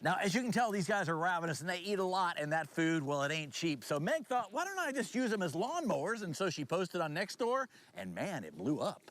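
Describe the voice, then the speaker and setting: Folksy male news anchor, lively delivery. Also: high-pitched, fairly quick, hardly any pauses. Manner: folksy, jokey, punning. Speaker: TV news anchor and feature reporter. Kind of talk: conversational news anchoring and folksy scripted narration.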